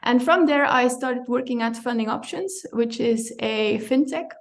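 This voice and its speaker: Gravelly-voiced woman from Belgium. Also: a little high, measured pace. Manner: thoughtful, self-correcting, light laughs. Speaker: compliance director, guest. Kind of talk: conversational interview.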